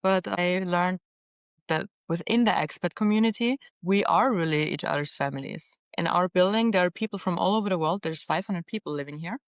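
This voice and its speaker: Young Austrian woman, smooth and dry. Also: on the low side, a little fast, no echo. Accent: Austrian German. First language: Austrian German. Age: young adult. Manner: reflective, frequent "like" and soft laughs. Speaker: podcast guest. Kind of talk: casual interview chat. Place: apartment on a high floor.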